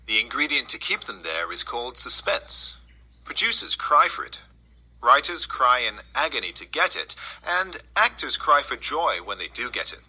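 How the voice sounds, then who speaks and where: A man reading a first-person essay aloud, with emphatic, deliberate phrasing. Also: medium pitch, very animated, sharp tone, slightly rough, low-quality recording. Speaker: reader reading an article aloud.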